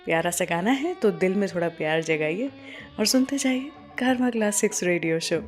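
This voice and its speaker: A woman's voice: lowish voice, lively intonation, moderate pace. A woman presenting a music radio show, chatting conversationally and speaking directly to her listeners.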